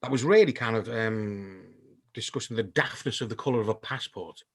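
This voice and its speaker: A man's voice: fairly low pitch, husky. Hesitant male panel moderator. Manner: halting, many ums and restarts. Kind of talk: panel discussion, hesitant and thoughtful.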